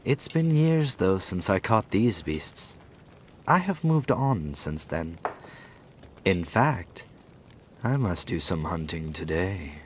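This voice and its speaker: A man's voice: sits low, lively intonation, takes it slowly, a little hiss or hum. A man playing a menacing, brutish lord and husband in an audiobook fairy tale, speaking in curt, commanding, old-fashioned phrases. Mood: boastful.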